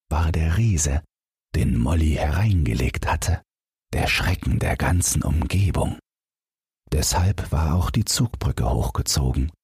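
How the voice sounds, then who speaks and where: A man with an extremely low, heavily husky voice reads a bedtime fairytale. His delivery is unhurried and expressive, with lively rises and falls. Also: no room sound, clean studio sound. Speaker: audiobook narrator. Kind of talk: scripted bedtime fairytale narration.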